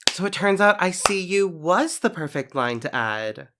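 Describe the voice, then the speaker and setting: A woman's voice: on the low side, animated, normal speed, background noise, natural voice. A woman playing a smug, accusing young superhero in an audio drama, sarcastic and sure of herself. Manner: sarcastic, confident.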